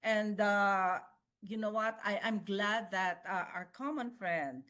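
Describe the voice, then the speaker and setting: Woman host, friendly chat, halting with fillers, mid-pitched voice. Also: a bit bright. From Philippines. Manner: hesitant, frequent fillers, cheerful. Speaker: podcast host. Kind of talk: casual interview chat.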